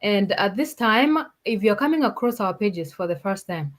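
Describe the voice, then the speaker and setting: A woman from Kenya co-hosts a live online talk, her voice at a middle pitch. She speaks in a polite, steady way. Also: moderate pace. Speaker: co-host. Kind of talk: live stream introduction.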